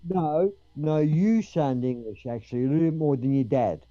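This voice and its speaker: A man's voice: a little high, normal speed, muffled recording, over music. An Australian man chatting casually with the other people on a livestream call. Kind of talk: casual chat.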